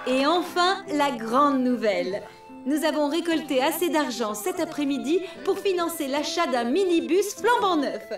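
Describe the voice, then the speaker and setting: A woman's voice: sits high, expressive, moderate pace, reverberant, far from the mic, over music. A woman voicing a cheerful cartoon school fête announcer, formal and emphatic, building suspense. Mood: proud, suspenseful. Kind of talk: ceremonial announcement in a French cartoon.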